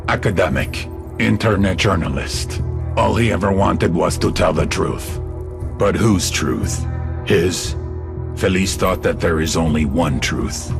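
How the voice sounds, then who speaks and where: A calm, menacing cartel boss in a game monologue. The voice is very low and creaky, laying out each short phrase slowly and deliberately, as a self-righteous storyteller. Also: male voice. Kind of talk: dramatic cutscene monologue.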